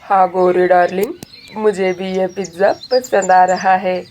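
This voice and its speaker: A woman's voice: lowish voice, steady tone, a little slow, a little mellow, slight background noise, pitched below the performer's own voice, natural voice. A woman voicing an affectionate male customer in a cartoon, a doting husband. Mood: fond.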